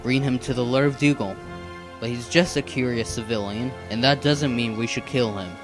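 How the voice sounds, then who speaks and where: Male actor as dutiful assassin in a dark fantasy cartoon: measured, formal, firm, mid-pitched, over background music. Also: fairly even intonation, natural voice. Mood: reasoning. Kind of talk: amateur animated fantasy series acting.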